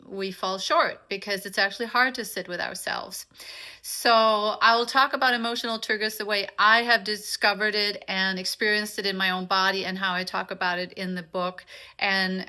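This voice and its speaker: A woman from Denmark whose first language is Danish leads an informal live session. Her voice is very bright and forward, at a middling pitch. She speaks to attendees directly, welcoming them and explaining as a teacher does. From Copenhagen, Denmark. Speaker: author and meditation teacher hosting a live session. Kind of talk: informal live online talk and guided teaching.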